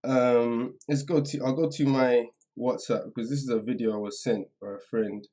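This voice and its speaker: A man hosting a live stream in casual, slangy chat, halting and trailing off as he goes, a little away from the microphone. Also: mid-range voice, steady tone, dark tone. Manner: informal, slangy address to viewers; halting, distracted, trailing off mid-sentence. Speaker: livestream host. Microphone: some distance from the microphone.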